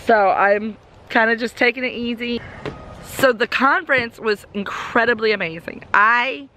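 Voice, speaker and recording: A woman, a little hoarse, chatting upbeat to her camera and kids outdoors in a homestead vlog. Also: medium pitch, pushed and bright, slight background noise. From Southern United States. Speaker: homestead vlogger. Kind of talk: casual vlog chat. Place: outdoors in a backyard.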